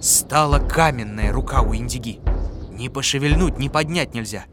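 A man narrating a folk fairy tale with a measured, expressive reading. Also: mid-range voice. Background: music.

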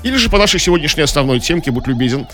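A fast-talking man on a radio show, his slightly high voice bouncing around in pitch. He is playful and offhand, bantering casually for comedy over background music. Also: very animated, pushed and bright.

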